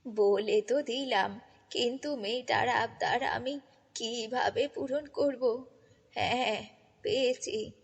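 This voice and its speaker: A woman's voice: fairly high pitch, slow pace, creaky, slightly rough, voice pushed down, heavily acted. A woman voicing a kindly, worried old grandmother in a children's fairy-tale cartoon, coaxing and affectionate. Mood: worried, plotting. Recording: long reverb, music bed.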